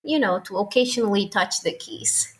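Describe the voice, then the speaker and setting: A young woman from Puerto Rico, a native Spanish speaker with a thick Puerto Rican accent, chatting as an interview guest and pausing often to search for words. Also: mid-range voice, expressive, low-quality recording. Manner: hesitant, searching for words, frequent fillers. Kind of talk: casual interview conversation.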